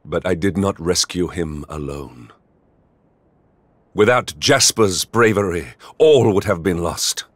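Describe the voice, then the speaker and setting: An actor playing a stern, remorseful knight general in a video game, speaking formally and gravely. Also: male voice, sits low, lively intonation, takes it slowly, no room sound, full character voice. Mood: solemn regret. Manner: formal, solemn, grave delivery. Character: stern, remorseful male knight general, mature, noble and brooding. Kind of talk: video game cutscene acting.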